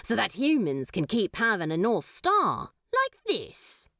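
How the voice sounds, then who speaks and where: A cheeky, blunt male demon in a fanfiction audiobook, as a woman narrator puts him on. He talks slowly and casually, with a very lively lilt, in a dry close recording. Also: mid-range voice, no echo, exaggerated voice. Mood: breezy. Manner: breezy, slangy, blunt.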